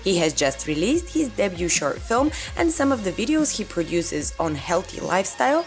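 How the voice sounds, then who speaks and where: A woman's voice: medium pitch, very animated, moderate pace. Woman doing the show intro, bouncy sing-song delivery, faint music bed. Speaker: show host. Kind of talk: scripted narration.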